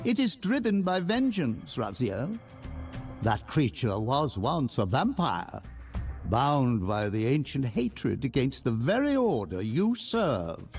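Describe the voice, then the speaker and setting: Scheming male sorcerer, gravelly creak, swooping intonation, unhurried, insinuating, theatrical gothic game villain. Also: medium pitch, big pitch swings. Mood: sly, musing. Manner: theatrical, insinuating, savouring words. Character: sly, scheming male sorcerer lord, smooth manipulator. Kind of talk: dramatic video game voice acting. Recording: music under the voice.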